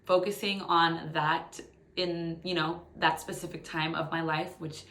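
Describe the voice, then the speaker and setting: A woman's voice: low voice, little pitch movement, a little slow, sharp tone. A woman in her late twenties giving a chatty, candid personal update to her phone in a living room, in a casual vlogger style. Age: late 20s. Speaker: YouTube vlogger. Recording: echoey room sound on phone.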